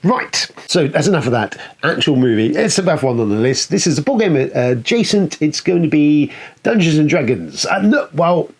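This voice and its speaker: Man, very lively pitch, rambling casual commentary, sweary, enthusiastic review show host. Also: normal speed, breathy edge. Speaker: host of a board game film review show. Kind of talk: casual, chatty countdown commentary.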